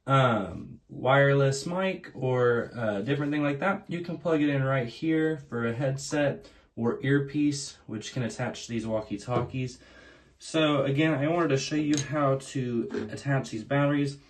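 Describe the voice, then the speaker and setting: A man's voice: mid-range voice, a little slow. A man hosting a casual product unboxing, explaining things plainly, step by step. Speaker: unboxing video host.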